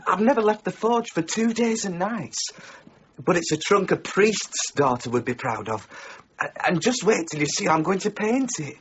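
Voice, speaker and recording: Lovesick stammering young blacksmith. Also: male voice, sits high, expressive, normal speed, slight background noise. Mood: pleading. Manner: stammering, pleading, earnest. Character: lovesick young village blacksmith, shy and earnest. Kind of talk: radio drama acting. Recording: dull lo-fi 1990s broadcast.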